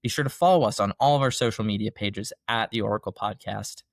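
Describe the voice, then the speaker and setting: A young man hosts his podcast alone, talking in an excited, rambling way about things he loves. His voice is low, gravelly and a bit hoarse, as if he is still recovering from being sick. The sound is dry and close. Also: fast talker, no echo. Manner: enthusiastic, rambling, fan gushing. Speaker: podcast host. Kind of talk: casual solo podcast chat.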